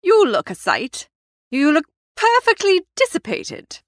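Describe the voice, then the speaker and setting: A woman's voice: very high-pitched, wildly swooping pitch, a little slow, no echo, clean studio sound, exaggerated voice. A woman playing a bossy, formidable older upper-class aunt in a comic audiobook, scolding and emphatic. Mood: scornful, commanding.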